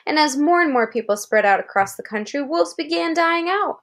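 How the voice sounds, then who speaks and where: Higher-pitched American woman reading to a child. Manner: expressive storytelling, pausing to check understanding with questions. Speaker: parent reading aloud to a child. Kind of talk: read-aloud of a children's article with explanatory asides.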